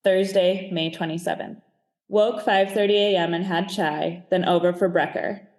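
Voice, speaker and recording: A man's voice: high-pitched, steady tone, normal speed, darkish tone. A man voicing a grumbling Second World War serviceman reading out his diary in clipped phrases. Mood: matter-of-fact.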